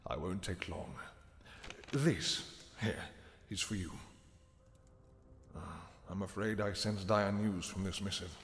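A man's voice: deep voice, takes it slowly, big-room sound. A man voicing a polite, hesitant royal servant who speaks deferentially with small hesitations.

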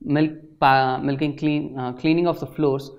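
A young man gives an explanatory lecture in a halting, hesitant way, with frequent filled pauses. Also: a little high, fairly even intonation. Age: young adult. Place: indoor studio at a desk. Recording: slightly dull, lo-fi sound.

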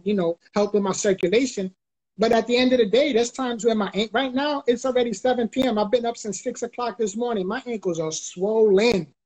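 A man's voice: sits high, a little mellow, rough recording. A middle-aged man from the Bronx. He gives an emphatic motivational talk on a live video call and repeats key phrases like a preacher. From the Bronx, New York. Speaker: guest motivational speaker. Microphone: video call, close webcam or phone.

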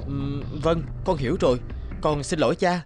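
A kind, timid young merchant's son in a cartoon fairy tale, played by a man. He speaks politely and earnestly in a fairly high voice, with music behind. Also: normal speed, lightly acted. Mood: apologetic.

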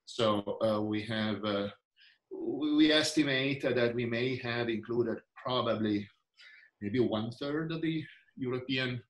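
A man's voice: mid-range voice, takes it slowly, roomy sound. A man in his sixties with an Italian accent, answering interview questions over a video call with many fillers and restarts. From Italy. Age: 60s. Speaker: researcher and physician. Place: indoor room.

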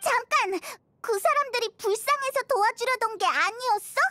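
Tiny childlike game fairy, very high squeaky voice, earnest, excitable, dry studio sound. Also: female voice, moderate pace, no echo. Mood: shocked, upset. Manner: expressive, naive, exclamatory. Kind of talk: anime game voice acting.

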